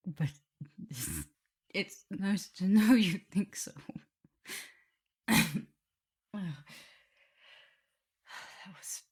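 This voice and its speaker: Woman acting a low, creaky, tender vampire mistress. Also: full character voice. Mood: tender, sad. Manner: hesitant, halting, breaking into whispers and soft laughs. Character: tender, nervous, guilt-ridden female vampire mistress in love. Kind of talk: intimate ASMR roleplay to the listener.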